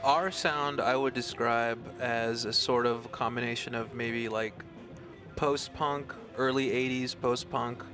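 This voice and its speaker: A man's voice: mid-range voice, steady tone, slow pace. Man, Spanish first language, thoughtful, many ums, music club, band music in background. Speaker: singer and guitarist. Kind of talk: casual interview answers.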